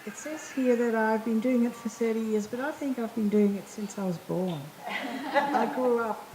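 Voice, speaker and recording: Older woman, soft and mellow. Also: a little high, normal speed, reverberant, slight background noise, rough recording. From Indonesia. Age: 70s. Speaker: panel speaker. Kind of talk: self-introduction at a panel.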